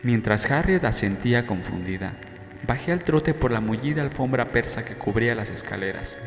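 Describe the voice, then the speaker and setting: A man reading a novel as an audiobook narrator, in measured, dramatic first-person storytelling. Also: mid-range voice, lively intonation. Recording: roomy long reverb, faint music. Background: faint music.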